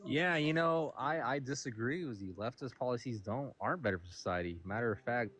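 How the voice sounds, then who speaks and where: Male caller, lively up-and-down delivery, needling and interruptive. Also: medium pitch, brisk. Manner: mocking and provocative, keeps interrupting with "sir". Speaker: caller on a livestream. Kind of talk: trolling debate call.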